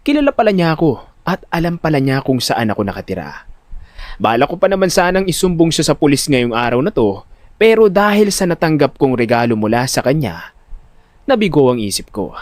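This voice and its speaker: Audio drama lead: male actor as jittery young Filipino guy, slightly high voice, very lively pitch, expressive. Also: fairly quick, no room sound. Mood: storytelling. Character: jittery, vain, good-natured young everyman telling his story. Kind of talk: audio drama storytelling in first person. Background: music.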